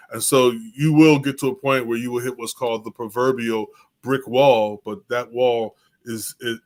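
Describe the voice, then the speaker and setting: A man talking as a guest genealogist, measured and a little hesitant, with many fillers. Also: mid-range voice. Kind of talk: casual conversation.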